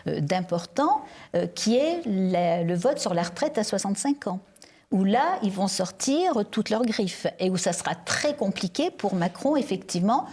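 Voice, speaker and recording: Femme invitée, propos posé avec hésitations. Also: female voice, mid-range voice, big pitch swings, normal speed, darkish tone, breathy edge. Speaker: panel guest. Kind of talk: televised political debate.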